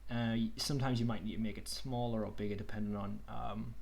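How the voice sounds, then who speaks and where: A man's voice: on the low side, fast talker, creaky, a little hiss or hum. Laid-back young man teaching on a call. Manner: relaxed, chatty, says "okie dokie" and "kind of". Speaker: online workshop tutor. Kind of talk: casual screen-share tutorial.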